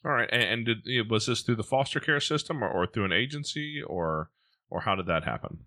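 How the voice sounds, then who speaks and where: A man's voice: fairly low pitch, expressive, fairly quick, sharp tone. A man with an American Midwest accent hosting a podcast in a casual, chatty interview style. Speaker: podcast host.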